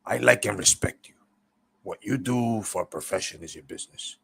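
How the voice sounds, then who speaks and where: A man voicing a gruff, principled old man in a told story, plain-spoken, deliberate and profane. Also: on the low side, full character voice. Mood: stern. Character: gruff old male boxing mentor, principled but affectionate.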